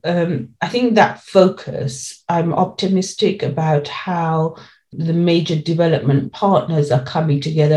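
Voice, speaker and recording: A woman's voice: low-pitched, warm tone, poor audio. A woman speaking as an interview guest, earnest and emphatic, repeating key phrases to make her point. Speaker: interview guest, education policy lead. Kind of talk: spoken interview answers.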